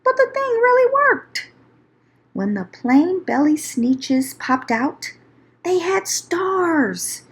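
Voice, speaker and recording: A woman reading a rhyming children's story aloud as narrator, in a theatrical, expressive storytelling manner. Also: very high voice, slow pace.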